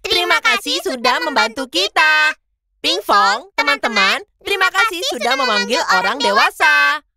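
Tiny, very high baby shark. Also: female voice, a little slow, very noisy, exaggerated voice. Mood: grateful. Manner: childlike, animated. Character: sweet, polite little baby shark. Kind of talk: children's cartoon acting.

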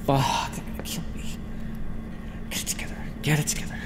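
Man acting a desperate vampire scientist, creaky and dramatic. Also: mid-range voice, sing-song, normal speed. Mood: panicked. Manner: dramatic, formal wording, often dropping to a whisper. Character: desperate, sardonic male vampire scientist, protective of his captive. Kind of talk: ASMR roleplay voice acting. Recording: hall-like reverb with clear background noise.

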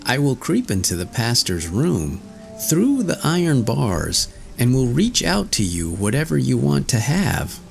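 A man voicing a thumb-sized, brave and mischievous boy in a storybook reading, high and loud. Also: huge pitch swings, a little slow, gravelly, faint music behind, exaggerated voice. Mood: eager.

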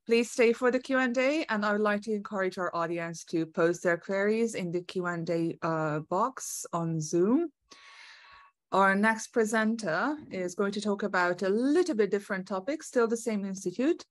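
Woman moderator, mid pitch, polite with fillers, dry close sound. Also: moderate pace, no echo. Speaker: event moderator. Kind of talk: hosting an online seminar.

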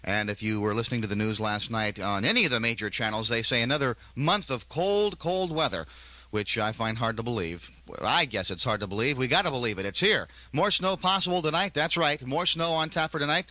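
A man's voice: mid-range voice, brisk, dry recording, rough recording. A man working as a morning DJ on a 1970s country radio show, with playful, joking patter and forecasts. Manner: joking, playful deadpan bits. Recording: 1978 AM radio aircheck.

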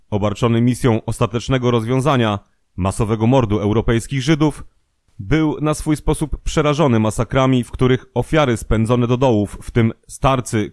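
Man, scripted history narration, true-crime audio style, middle pitch, dry close sound. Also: darkish tone, no echo.

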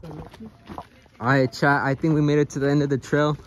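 Young guy vlogging a hike on his phone, kinda high voice, slangy and swearing, lots of noise behind. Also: little pitch movement, moderate pace. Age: young adult. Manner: loud, slangy, joking, swearing, mixing in Spanish phrases. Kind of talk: casual hiking vlog. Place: outdoors on a hillside trail and in a car. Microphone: phone held at arm's length. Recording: phone audio with clear background noise.

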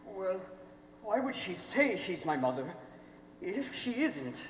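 A man's voice: high-pitched, big pitch swings, takes it slowly, exaggerated voice. Young man acting naive youth, swooping voice. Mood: puzzled. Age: young adult. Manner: puzzled, simple, questioning. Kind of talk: amateur stage play acting. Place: school theatre stage. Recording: echoey noisy theatre hall.

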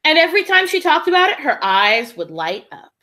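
A young woman reads a children's story with clear expression. Her voice sits a little high and moves up and down animatedly, with a bright, forward tone. She tells it deliberately, as a teacher would. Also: sing-song. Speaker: teacher reading aloud to children. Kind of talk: storybook read-aloud. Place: small indoor room with classroom decorations. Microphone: webcam or laptop at arm's length.

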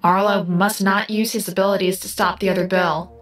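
An actress voicing a calm female artificial intelligence in an audio drama, speaking precisely and formally. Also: mid-range voice, brisk, lightly acted. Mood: grave, formal. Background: music.

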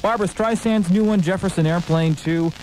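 A man's voice: high-pitched, normal speed, a little mellow. A man working as a radio DJ, with casual, conversational, rambling ad-libbed patter. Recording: faintly noisy old aircheck tape.

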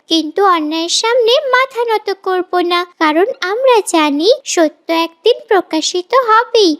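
A woman's voice: very high voice, a bit bright. A woman voicing a bold, outspoken little girl in a moral cartoon. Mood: firm, defiant.

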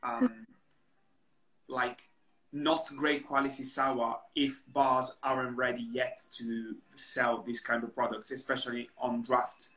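A man in his thirties hosts over a laptop webcam at home, asking questions in a hesitant, filler-laden way. His voice has a bright, forward edge, and the room echoes around it. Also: mid-range voice, slow pace. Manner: hesitant questions with fillers, non-native phrasing. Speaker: host. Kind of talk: casual video call interview. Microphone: laptop webcam on video call. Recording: roomy webcam audio.

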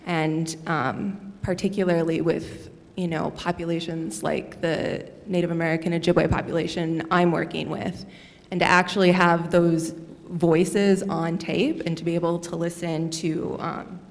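Low, flat-voiced young woman with fry. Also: a little slow. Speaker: panelist, graduate student. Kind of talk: panel discussion. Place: lecture hall with audience. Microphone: stand mic at a panel table. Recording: long reverb of a hall.

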